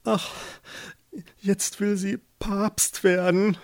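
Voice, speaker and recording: Mann spielt zaghaften, bittenden Fischer. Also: male voice, fairly high pitch, very animated, measured pace, dry recording, studio quality, heavily acted. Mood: fearful pleading. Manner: hesitant, stammering, pleading. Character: timid, humble fisherman husband, eager to please.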